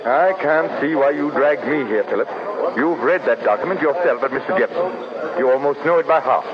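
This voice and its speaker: An actor in an old-time radio drama playing a gruff, skeptical well-to-do colonial father who grudgingly doubts everything. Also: on the high side, normal speed, natural voice. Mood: grumbling, skeptical. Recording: muffled old radio recording, crowd behind.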